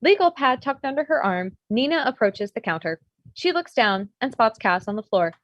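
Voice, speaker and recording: A woman's voice: on the high side, expressive, moderate pace. A woman reading out a script's stage directions as scripted narration, on dull, lo-fi audio. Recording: dull, lo-fi video call sound.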